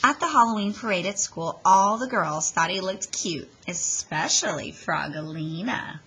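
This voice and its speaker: A woman reads a picture book aloud with big, lively swings in pitch. Her voice is slightly low and her pace is slow, giving the story a dramatic, expressive shape. A little background noise is audible. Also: poor audio. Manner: dramatic, with emphasised sound words. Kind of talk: expressive children's story reading.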